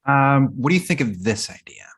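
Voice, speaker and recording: A woman playing an awkward, earnest young comedy writer, tentative and deadpan, in a comedy table read. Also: a bass voice, brisk, slight background noise. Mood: tentative pitching.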